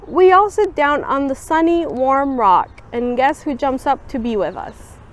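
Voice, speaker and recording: A young woman volunteer reading a children's picture book aloud outdoors, giving the quoted lines a lively, expressive lift. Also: high-pitched, measured pace. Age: young adult. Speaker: student volunteer reader. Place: outdoors on a campus bench.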